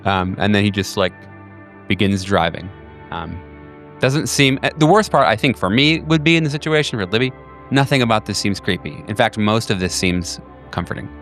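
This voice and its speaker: Brief: low-voiced male game master, loose, rambling narration, soft music bed. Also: normal speed. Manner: rambling, filler-heavy, with many ums and uhs. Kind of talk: narrating a tabletop horror game.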